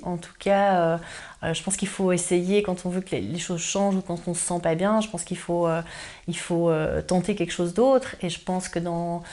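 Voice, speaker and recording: A woman's voice: lowish voice, fairly even intonation, moderate pace, dark tone. A woman giving unscripted interview answers, hesitant and searching for words.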